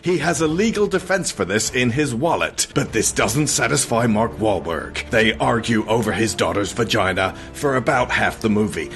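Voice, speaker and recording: A man hosting a podcast, reading a comic scripted recap in a mock-solemn, deadpan way with casual asides. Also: mid-range voice, normal speed, few pauses. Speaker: podcast host.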